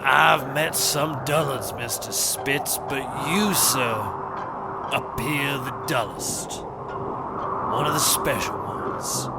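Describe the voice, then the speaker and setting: Folksy, spooky caretaker for comedy podcast: gravelly, unhurried, over noticeable background noise. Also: male voice, a little high, full character voice. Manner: folksy, deliberate, spooky storytelling. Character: folksy, ominous male motel caretaker, slow and plain-spoken. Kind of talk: scripted comedy audio drama.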